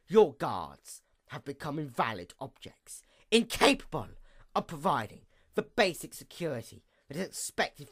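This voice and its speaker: A performer acting out a bitter pony goddess of the night, female and vengeful. The delivery of this villain monologue is grandiose and formal, with a mid-pitched voice that sweeps widely between fierce outbursts and hushed menace. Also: gravelly, breathy edge, exaggerated voice. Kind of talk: dramatic reading, theatrical villain monologue.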